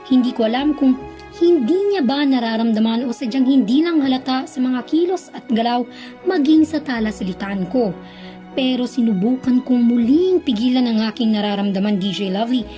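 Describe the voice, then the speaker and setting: A woman's voice: a little high, normal speed, mellow, faint music behind, natural voice. A young woman with a Filipino accent playing a lovesick narrator confessing hidden love in a radio drama. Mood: pained, wistful.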